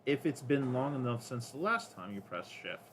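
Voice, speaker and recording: A man with a deep voice casually rambling to himself while streaming a game. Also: expressive, moderate pace, a bit bright, a little hiss or hum. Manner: rambling, self-talking, counts under breath. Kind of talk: casual gameplay commentary.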